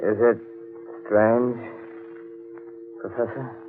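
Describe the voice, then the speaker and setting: An actor playing a brooding, ominous man with an eerie calm, measured and portentous, in 1940s radio drama. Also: medium pitch, over music. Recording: muffled old radio recording.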